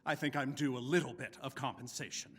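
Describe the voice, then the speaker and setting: An actor playing a bitter, vengeful older scientist in a video game, speaking with cold, formal contempt. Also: male voice, mid-range voice, steady tone, fairly bright tone, slightly rough, in a large hall. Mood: grieving fury. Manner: contemptuous, formal, deliberate menace. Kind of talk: dramatic video game voice acting.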